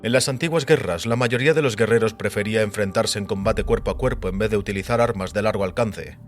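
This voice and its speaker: Actor as a deep, solemn, authoritative stranger. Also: male voice, lightly acted. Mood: explaining calmly. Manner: measured, didactic, solemn. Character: calm, authoritative, enigmatic male visitor debating gravely. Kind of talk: dramatised audiobook acting. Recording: music bed under the voice.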